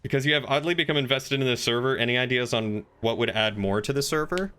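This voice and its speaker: Bright-voiced man streaming casually. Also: medium pitch, quick pace, clean tone. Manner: rambling, thinking aloud, reading chat aloud. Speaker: livestreamer. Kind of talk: casual chat while streaming game roleplay.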